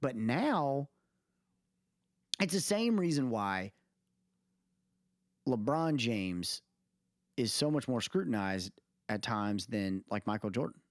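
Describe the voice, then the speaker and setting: A man's voice: medium pitch, sing-song, takes it slowly, long pauses, no echo, clean studio sound. A man co-hosting a podcast in casual conversation, emphatic and repeating phrases to drive points home. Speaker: podcast co-host. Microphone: close mic on a remote recording call.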